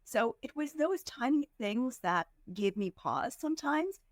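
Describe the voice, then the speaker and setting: A woman from India, a fundraising executive, giving earnest, measured answers as a podcast guest and slowing down to stress her points. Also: sits high, expressive, no room sound, clean studio sound. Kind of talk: reflective interview answers.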